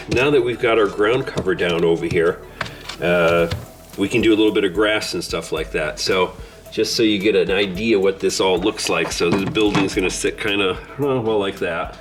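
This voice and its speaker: A low-voiced man from Southern California talks in a relaxed, rambling way, full of little fillers. He sounds congested, getting over the flu. Faint music plays quietly behind him. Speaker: model railroad hobbyist host. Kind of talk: casual hobby vlog. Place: home layout room.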